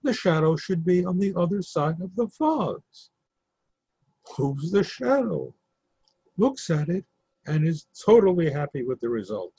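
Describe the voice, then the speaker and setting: A man reading a play's stage directions aloud in a measured, even way. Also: fairly high pitch, gravelly, breathy edge, low-quality recording.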